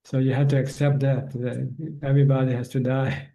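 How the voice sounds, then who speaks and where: A man's voice: medium pitch, level tone, normal speed, vocal fry, dark tone, highs cut off. A man answering questions as a Buddhist teacher on a video call, speaking plainly and often repeating words as he finds his phrasing. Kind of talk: online dharma Q&A.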